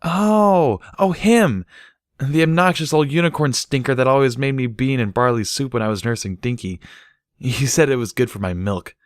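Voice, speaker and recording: A man voicing a gentle, musing, motherly grey mare in a storybook reading. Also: mid-range voice, moderate pace, no echo, clean studio sound, pitched above the performer's own voice, lightly acted. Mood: fond, curious. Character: gentle motherly grey mare, absent-minded and warm-hearted.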